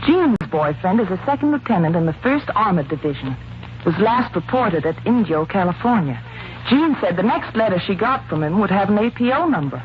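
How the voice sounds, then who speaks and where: A woman's voice: medium pitch, sing-song, fairly quick, natural voice. An American woman acting a plucky, earnest young wife in a 1940s radio drama, on a dull, noisy recording. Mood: earnest, reporting. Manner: earnest, resolute. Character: plucky, enterprising young wife, amateur sleuth.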